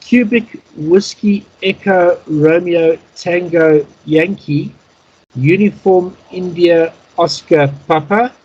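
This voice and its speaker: A man leads an informal practice class over an internet call. His voice is husky and he explains things methodically, item by item. The sound is somewhat muffled. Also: fairly high pitch, darkish tone. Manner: methodical, explanatory, spelling in phonetic alphabet. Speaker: Morse code instructor. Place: online video call.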